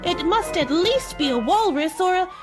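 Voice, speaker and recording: Young storybook girl, extremely high pitch, animated intonation, polite wondering, radio play. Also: moderate pace, music in the background. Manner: wondering aloud to herself, mannered politeness.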